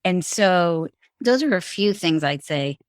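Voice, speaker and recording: A woman's voice: on the low side, lively intonation, measured pace, smooth, no echo. Middle-aged woman, dry close-mic storytelling. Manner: conversational, recounting with frequent restarts. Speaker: guest, former bank executive. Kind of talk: storytelling, interview answers.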